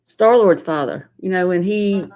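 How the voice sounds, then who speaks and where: A woman co-hosting a casual podcast chat, hesitant and thinking out loud. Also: lowish voice, a little slow. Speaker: podcast co-host.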